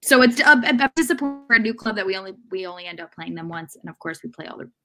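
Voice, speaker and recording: A young woman on a video call, giving measured, halting sports analysis as a panelist in a casual discussion. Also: mid-range voice, lively intonation. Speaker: soccer podcast panelist. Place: indoor room at home, video call. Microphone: video call microphone.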